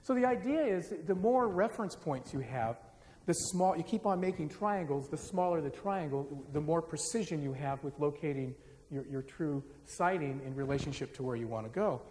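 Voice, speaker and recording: A middle-aged man gives a public lecture on stage in a large dark auditorium, speaking into a podium microphone in a conversational, self-deprecating way. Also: fairly high pitch, lively intonation, moderate pace. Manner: conversational, self-deprecating, gets the audience singing. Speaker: forum speaker. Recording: reverberant hall with faint hiss. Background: live audience.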